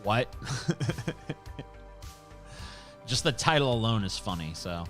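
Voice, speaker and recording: Guy streamer rambling and swearing while reading chat, bright punchy voice, faint music behind. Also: lowish voice, lively intonation, takes it slowly. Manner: profane, rambling, reads chat comments aloud. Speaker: livestream host. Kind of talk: casual livestream chat.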